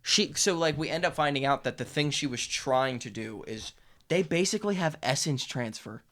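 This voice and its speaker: A man's voice: mid-range voice, lively intonation, normal speed, a bit bright. A man co-hosting a podcast in casual, opinionated chat, blunt and joking with lots of swearing. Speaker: podcast co-host reviewing a TV series.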